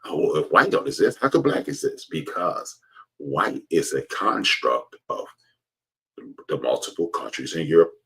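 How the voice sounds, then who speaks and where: A man's voice: sits low, big pitch swings, a little slow, creaky, bright, forceful tone, husky. An American man giving an informal, emphatic opinion monologue to his viewers, preaching his point and repeating phrases for stress. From United States. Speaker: host of an online commentary show.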